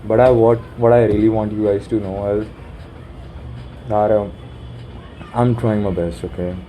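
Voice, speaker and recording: Dark-voiced young man musing aloud. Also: steady tone, slight background noise, rough recording. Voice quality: deep voice. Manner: musing, halting, swears casually. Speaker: vlogger. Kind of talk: rambling self-talk planning. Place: sitting in a room corner. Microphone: phone.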